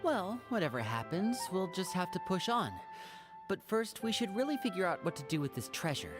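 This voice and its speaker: A woman's voice: on the low side, big pitch swings, a little fast, over music. An actress playing a practical, resolute young woman adventurer in an anime video game. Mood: determined.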